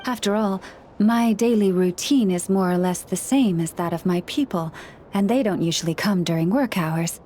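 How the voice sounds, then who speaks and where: A woman's voice: medium pitch, slight background noise, natural voice. Serene Moon Chanter, voiced by a woman. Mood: earnest. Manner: calm, measured, earnest. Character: gentle, serene young woman Moon Chanter, caring listener. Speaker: spiritual counselor to people and animals. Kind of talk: video game voice acting.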